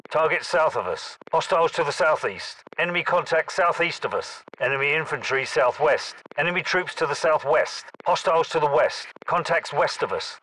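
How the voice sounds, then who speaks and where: A man's voice: on the high side, pushed and bright, low-quality recording. A man voicing a commanding military captain in a video game, giving terse, urgent battle callouts. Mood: terse, controlled. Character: gruff, commanding male military captain calling out enemies.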